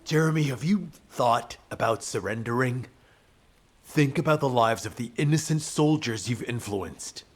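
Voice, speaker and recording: A man's voice: medium pitch, lively intonation, moderate pace, lightly acted. An actor playing a stern male military field operative in a game, speaking in a firm, businesslike way. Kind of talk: dramatic game cutscene acting.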